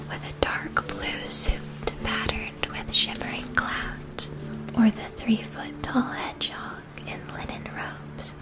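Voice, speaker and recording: A woman whispering a gentle, soothing ASMR fantasy roleplay, unhurried and carefully enunciated, addressing the listener directly. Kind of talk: whispered ASMR, second-person fantasy story with choices.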